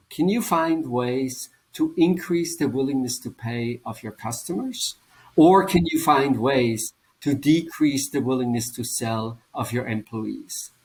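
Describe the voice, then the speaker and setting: Swiss German-accented male author, animated explainer, mid-pitched voice. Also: rough recording. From German-speaking Switzerland. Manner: animated, explanatory, laughs often. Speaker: author and professor. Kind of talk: conversational interview answers.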